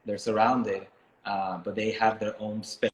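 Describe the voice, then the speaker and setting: A man from Miami talking casually and reflectively as an interviewed artist, thoughtful and halting as he searches for words. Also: lowish voice, level tone. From Miami, United States, born in Ecuador. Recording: echoey room sound.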